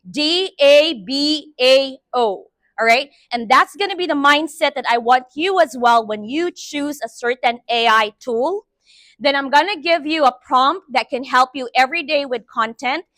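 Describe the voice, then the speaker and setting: A bright, high-voiced woman speaks to a conference room through a microphone. Her talk is chatty and upbeat, tossing frequent "right?" checks to the audience. The sound is dry and close, with little room echo. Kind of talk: live presentation to an audience.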